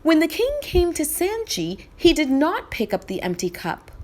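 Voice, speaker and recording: A woman reading a children's story aloud in expressive scripted narration. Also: high voice, moderate pace.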